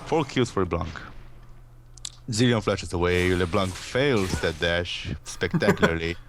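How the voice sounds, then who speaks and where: A man with a low voice co-commentates a video game. His play-by-play is casual and wry. A little noise sits behind him. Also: animated, unhurried.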